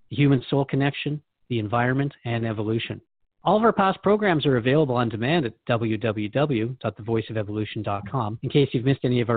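A man's voice: medium pitch, no echo. A man hosting a radio show in an earnest, inquisitive, conversational manner, recorded dry and somewhat muffled.

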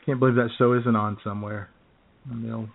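American male co-host, phone-quality audio, loose comic storytelling with hesitations and throat-clearing. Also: medium pitch, level tone, a little fast, slight background noise. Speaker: radio show co-host. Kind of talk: casual comic chat, telling anecdotes. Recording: phone-like, narrow-band sound.

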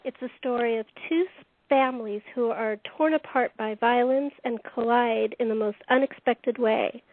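A woman's voice: fairly high pitch, steady tone, moderate pace. A woman narrating stage directions as the host of a radio play series, reading from a script. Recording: echoey room, tinny phone-like sound.

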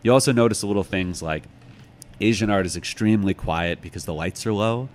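A man's voice: fairly low pitch, a little fast. An American man talking as an interview guest in a reflective, casual and musing way. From United States, Chicago. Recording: clearly noisy background.

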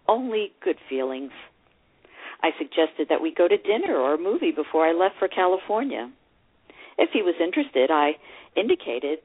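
An actress reading a memoir as a warm-hearted older divorced woman, expressive and measured, narrating a rekindled romance fondly in the first person. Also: on the low side, husky. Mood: fond, composed. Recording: dull, lo-fi radio-like sound.

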